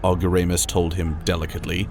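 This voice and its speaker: A man's voice: sits low, little pitch movement, fast talker, vocal fry. A man narrating a science-fiction audiobook in a dramatic, scripted storytelling style. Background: music.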